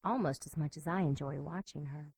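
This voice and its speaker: A sly demon temptress with a low voice under loud noise. She is flirtatious and sadistic, a sinister audio drama figure. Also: a little fast. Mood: sly menace. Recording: loud background noise, clicks.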